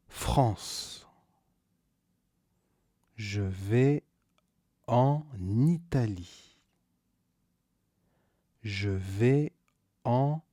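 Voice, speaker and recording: A man teaching a scripted beginner grammar lesson, slowly repeating each key word and stressing it with a rise. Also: lowish voice, warm tone, no room sound, studio quality. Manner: slow, deliberate, repeats key words with stressed rises. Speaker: French teacher.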